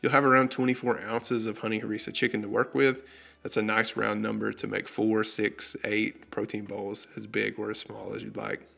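Man, 30s, cooking host, slightly low pitch, gravelly fry, measured friendly delivery, home kitchen, close studio mic. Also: little pitch movement, sharp tone. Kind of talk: scripted recipe walkthrough. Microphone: studio mic on stand in frame.

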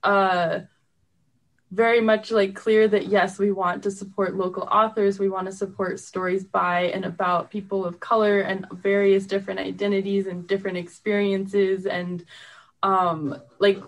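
A woman's voice: medium pitch, little pitch movement, normal speed, poor audio. A woman guest in a casual interview, reflecting in hesitant, carefully hedged phrases. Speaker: show co-creator, guest.

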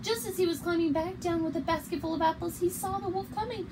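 High-voiced young woman reading a picture book. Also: steady tone, quick pace, noisy background. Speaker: story reader. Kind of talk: children's picture book read-aloud. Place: small bare indoor room.

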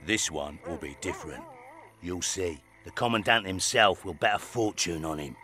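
Low-voiced boastful fight promoter. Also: male voice, moderate pace, pushed and bright. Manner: grandiose, speaks of himself in third person.

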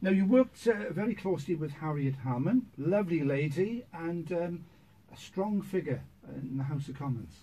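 A middle-aged man hosting a local radio show from a small studio, friendly with brisk presenter patter. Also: a little high, expressive, dark tone, low-quality recording. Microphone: studio boom mic.

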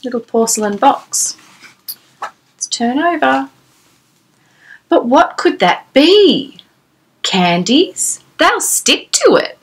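Casting: female children's storyteller, thirties, high-pitched and very animated, sing-song read-aloud delivery. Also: slow, long pauses, husky, rough recording. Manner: exclamatory, sing-song, asking the child questions. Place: small decorated room indoors.

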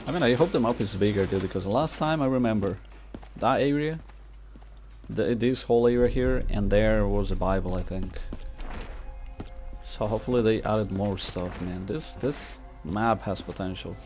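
A man's voice: fairly low pitch, moderate pace, darkish tone. A man giving casual gameplay commentary, muttering to himself as he plays. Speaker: gaming video creator. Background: video game music and sounds.

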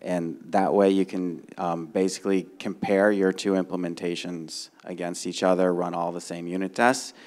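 A man's voice: deep voice, flat delivery, moderate pace, few pauses, darkish tone. A middle-aged man explaining technical matters informally on a conference panel, often checking in with "right?", speaking into a handheld microphone. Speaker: conference panelist, software developer. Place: conference room stage before a seated audience.

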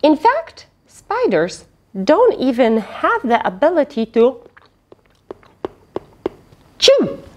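Very animated woman storyteller, slow and high. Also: a little hiss or hum. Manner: expressive, questioning, teacherly. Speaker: children's storyteller, host. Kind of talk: animated picture-book read-aloud with asides to children. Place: small indoor fabric tent with cushions.

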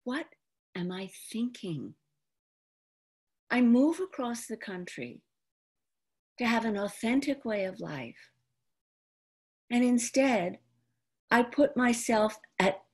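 An older woman of around seventy performing a dramatic, theatrical monologue in an expressive, deliberate manner. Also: on the high side, husky. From New York, United States. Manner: expressive, deliberate, acted-out delivery. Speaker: stage actress performing an autobiographical monologue. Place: indoor room at home, before a folding screen. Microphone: computer video call microphone.

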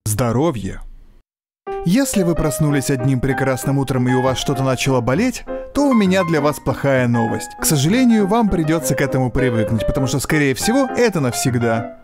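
A man around thirty delivering a scripted comedic monologue with exaggerated, animated complaining as a video host. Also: mid-range voice, fairly quick, over music. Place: small foam-treated home studio.

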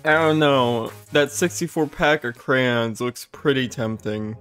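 A woman's voice: extremely low pitch, unhurried, soft background music. A young woman gamer in casual chat over a game, with sweary, joking banter.

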